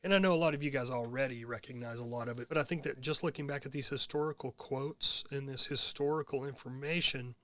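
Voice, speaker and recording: A man hosting his own podcast, hoarse from being sick, talking in an earnest, rambling monologue full of fillers. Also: medium pitch, pushed and bright, no room sound, clean studio sound. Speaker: podcast host. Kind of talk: casual monologue.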